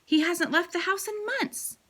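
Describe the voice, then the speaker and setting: A woman voicing a caring older wife, gently fond and expressive, in a storybook read aloud. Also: very high-pitched, measured pace, voice pushed up, exaggerated voice. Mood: puzzled.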